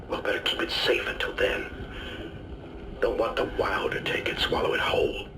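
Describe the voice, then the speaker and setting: Stern male survivor, hugely variable mid-pitched delivery, noise behind. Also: wildly swooping pitch, moderate pace, full character voice. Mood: insistent. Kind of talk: dramatic game voice acting.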